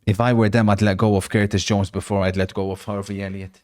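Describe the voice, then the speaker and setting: A man's voice: on the low side, level tone, fast talker. A man hosting a live football watch-along stream, chatting casually, reacting to the match and reading out viewer messages.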